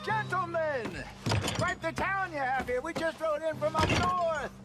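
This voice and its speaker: An actor playing a theatrical, smooth-talking American outlaw con man, florid and wheedling, in a western video game. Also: very high-pitched, unhurried. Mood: showy, hammy. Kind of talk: video game cutscene acting. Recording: music behind the voice.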